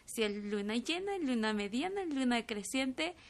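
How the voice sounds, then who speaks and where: A young woman from Chiapas whose first language is Tzotzil, answering an interviewer in a fairly high voice. She speaks thoughtfully and pauses on fillers, often ending with a questioning tag. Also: very animated. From Chiapas, Mexico. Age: 20s. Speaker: filmmaker guest.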